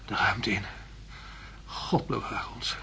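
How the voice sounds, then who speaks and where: An actor playing an exhausted, delirious astronaut in a radio drama, muttering haltingly in broken, repeated phrases. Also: male voice, lowish voice, vocal fry, pushed and bright, slight background noise, exaggerated voice. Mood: dazed muttering. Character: exhausted delirious male astronaut reliving a perilous landing.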